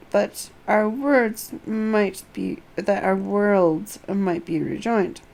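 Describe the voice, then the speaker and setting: A woman's voice: mid-range voice, vocal fry, a little mellow, low-quality recording. A woman voicing a self-assured young noblewoman from a fantasy game, probing and formal in archaic phrases.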